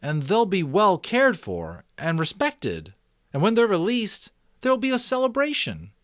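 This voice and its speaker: A man's voice: high voice, wildly swooping pitch, unhurried, a bit bright, no room sound, clean studio sound, voice pushed up, exaggerated voice. A man voicing an earnest, curious young boy in an audiobook, talking eagerly and questioningly as he reasons aloud. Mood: earnest, reasoning. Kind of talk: audiobook character voice.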